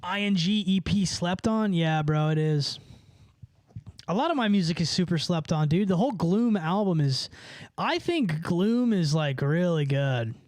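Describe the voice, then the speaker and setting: Slangy, profane man with a higher voice. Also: lively intonation, measured pace, a little mellow, dry recording, clean studio sound. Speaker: livestreamer and musician. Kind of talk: casual livestream chat answering viewers.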